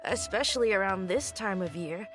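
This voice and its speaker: A woman's voice: mid-range voice, moderate pace, music in the background, close to an everyday voice. A woman voicing a polite, helpful market shopkeeper in a game, with a welcoming and obliging manner. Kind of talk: game voice acting.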